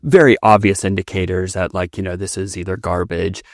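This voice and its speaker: A man's voice: low-pitched, fairly even intonation, a little fast, dry recording, studio quality. A man who is a native Finnish speaker, hosting a conversational podcast interview. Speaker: podcast host interviewing a guest.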